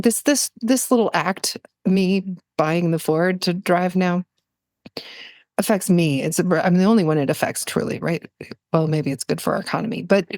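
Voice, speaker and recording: A woman's voice: lowish voice, a little mellow, no echo, clean studio sound. A woman speaking as a podcast guest, telling personal stories in a reflective, conversational and earnest way, often searching for words.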